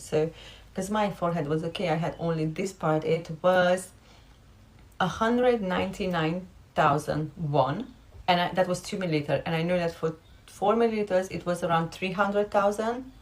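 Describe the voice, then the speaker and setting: A young woman from Romania, a content creator, talking candidly and directly to her viewers in a casual vlog. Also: lowish voice.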